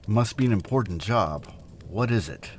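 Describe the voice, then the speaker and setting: An actor playing an earnest, principled young scientist hero with melodramatic delivery in an audio drama. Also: male voice, on the low side, animated, normal speed, slight background noise, natural voice. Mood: wary.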